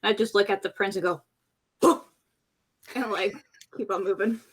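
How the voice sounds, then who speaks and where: A woman's voice: mid-range voice, moderate pace, breathy edge. A woman playing a tabletop roleplay game, narrating her character's actions casually and animatedly.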